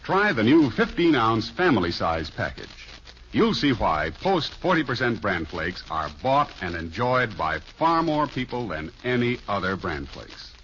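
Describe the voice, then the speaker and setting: A man's voice: mid-range voice, big pitch swings, unhurried, a bit bright. A man reading a scripted commercial as an old-time radio announcer. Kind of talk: 1950s radio advertising read. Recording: dull old radio recording, faint noise.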